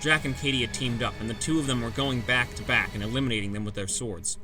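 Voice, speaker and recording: A man with a medium-pitched voice narrates a fantasy audiobook in the first person. Music plays clearly behind his scripted reading. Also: quick pace. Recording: loud music bed.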